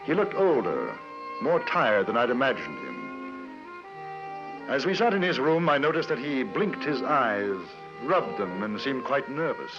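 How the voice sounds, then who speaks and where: A man's voice: mid-range voice, very animated. Courteous English newspaperman. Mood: measured, observant. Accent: British English. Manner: polite, measured, understated. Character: courteous, observant English newspaperman travelling the frontier. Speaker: narrator and lead. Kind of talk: 1950s radio narration and acting. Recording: muffled radio recording with music.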